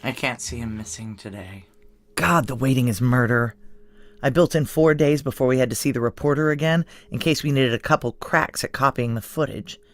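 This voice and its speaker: An actress playing a tough, wary security chief in a noir audio drama, low-pitched, dry and clipped. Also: female voice, a little fast. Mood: wary, coaxing.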